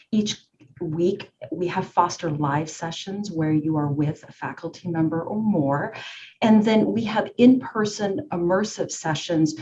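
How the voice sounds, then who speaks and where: A woman, a school's career management director, giving a polished, prepared overview over a video call and listing points crisply. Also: on the low side, mellow, lo-fi sound, slight background noise. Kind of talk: prepared professional introduction.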